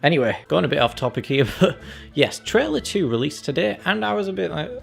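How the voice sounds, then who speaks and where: Chatty man with creaky voice reviewing trailers. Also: on the high side, expressive, fairly quick, faint music behind. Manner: chatty, acts out reactions and quotes. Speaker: film and game review channel host. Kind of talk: casual trailer review commentary.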